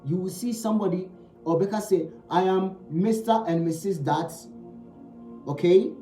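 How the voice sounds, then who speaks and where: A man's voice: high voice, normal speed, dark tone, reverberant, faint music behind. A man giving a spiritual teaching talk. He speaks emphatically, repeating key phrases and asking rhetorical questions.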